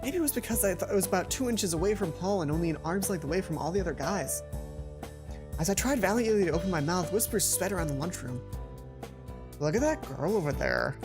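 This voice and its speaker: A young adult man reading a visual novel aloud as a let's play host, expressive, often correcting himself and dropping in casual commentary. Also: high voice, quick pace. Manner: expressive, self-correcting reader. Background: game music.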